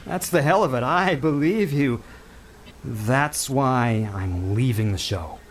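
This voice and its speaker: A man's voice: medium pitch, expressive, slow. An actor playing a weary, cynical, slightly drunk showman in an audio drama, casual, blunt and challenging. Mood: tipsy, probing.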